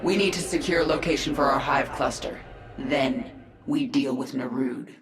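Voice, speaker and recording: An actress playing a cold, vengeful warrior queen of an alien swarm in a video game, measured and commanding, with an echoing effect. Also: extremely low pitch. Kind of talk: video game character acting. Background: music.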